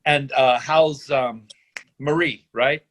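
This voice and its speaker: A man's voice: mid-range voice, fairly even intonation, slow pace, fairly bright tone. A man playing an estranged, awkward father in a theatre reading, hesitant in delivery. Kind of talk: readers theatre acting.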